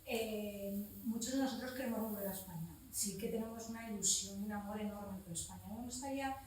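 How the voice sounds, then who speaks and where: A woman's voice: fairly high pitch, darkish tone, slight background noise. A Spanish woman in her forties who talks frankly and swears casually, speaking up from the audience at a public event. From Spain. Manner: blunt and frank, swears casually, many restarts. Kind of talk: impassioned spontaneous public comment. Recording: echoey room, from far off.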